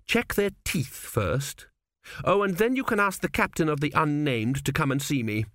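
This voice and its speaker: Man voicing an imperious young queen, high and snappish. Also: lively intonation, moderate pace, fairly bright tone, dry recording, clean studio sound. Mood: commanding, sour. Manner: sour, snapping, commanding. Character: imperious young queen, blunt, snappish, newly self-assured.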